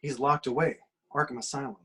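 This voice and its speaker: A man playing a steadfast, understated superhero leader in a script table read, terse and matter-of-fact, with a husky voice. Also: medium pitch, fairly even intonation, brisk, a bit bright.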